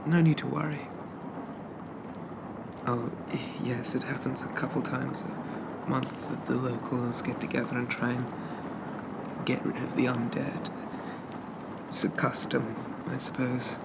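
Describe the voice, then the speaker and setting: Man playing a shy, slow-talking, creaky-voiced zombie. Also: medium pitch, level tone, very noisy. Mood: gentle, polite. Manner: hesitant, stammering, gently formal and self-effacing. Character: shy, polite, stitched-together undead zombie man. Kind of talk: ASMR roleplay, soft and intimate.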